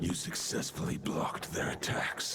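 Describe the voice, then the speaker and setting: An actor playing an ancient, solemn wolf spirit in a video game, with a gravelly growl and grave, formal speech. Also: male voice, moderate pace, natural voice. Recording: loud ambient game noise.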